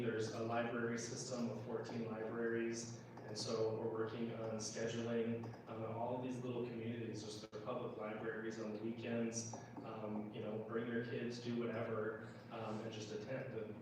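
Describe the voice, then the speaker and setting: Distant echoey recording, American man, middle-aged, flat intonation, political candidate, conversational, halting explanations. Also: mid-range voice. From Minnesota, United States. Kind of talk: informal town hall speech, answering questions. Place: indoor public meeting room, recorded from a distance. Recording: roomy echo, far mic, clear background noise.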